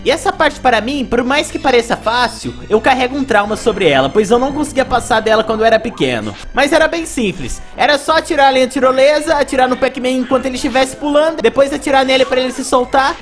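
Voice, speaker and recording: A woman's voice: sits high, animated, quick pace, few pauses. A woman with a Brazilian accent narrating video game play as a reviewer, with music behind. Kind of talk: gameplay commentary narration.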